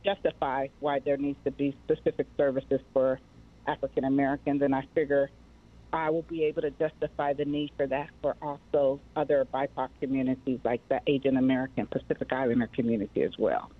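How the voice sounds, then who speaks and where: A woman's voice: rumbling low, steady tone, a little fast. Woman on a tinny phone line. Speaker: guest, mental health advocacy director. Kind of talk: personal interview answers. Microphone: remote call.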